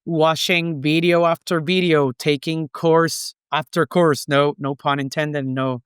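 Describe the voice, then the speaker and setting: Male podcast guest, Puerto Rican accent, native Spanish speaker, smooth clear tone on the higher side, explaining conversationally. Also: fairly even intonation, a little slow, dry recording, clean studio sound. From Puerto Rico. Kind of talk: conversational interview, explanatory advice.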